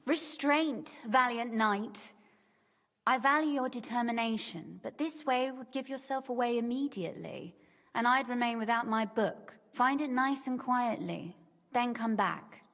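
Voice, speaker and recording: A woman's voice: fairly high pitch, measured pace, a bit bright, in a large hall. An actress playing a shrewd, teasing young medieval noblewoman in a video game, speaking in articulate, formal phrases. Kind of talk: scripted game dialogue.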